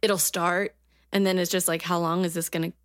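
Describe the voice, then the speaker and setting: A woman's voice: fairly low pitch, level tone, brisk, dry recording, studio quality. A woman co-hosting a podcast from her apartment over a video call, teasing and rambling through stories. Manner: teasing, chatty, rambling explanations. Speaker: podcast co-host.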